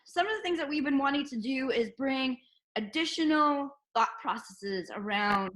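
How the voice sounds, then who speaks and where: A woman hosting a conversational interview, halting and repeating words as she forms her questions. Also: high voice, expressive, fairly bright tone, poor audio. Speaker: interview host; movement practitioner.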